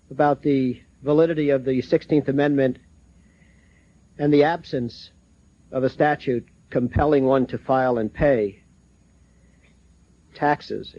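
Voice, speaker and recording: Slow, deliberate man posing formal questions. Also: a little high, no room sound. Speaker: seminar questioner. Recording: muffled dry lo-fi recording.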